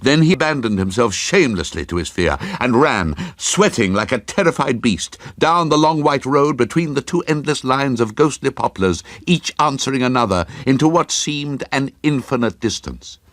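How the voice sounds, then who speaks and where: A man giving a dramatic, brooding and deliberate scripted narration of a ghost story, as in a radio play. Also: medium pitch, lively intonation, fairly bright tone, dry recording.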